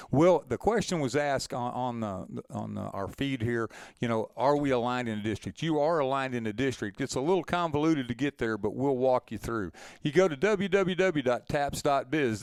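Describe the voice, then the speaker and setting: A man's voice: mid-range voice, clear, resonant tone, no echo. A man hosting a webinar, explaining things step by step in an informal, folksy, conversational way. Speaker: webinar host and moderator.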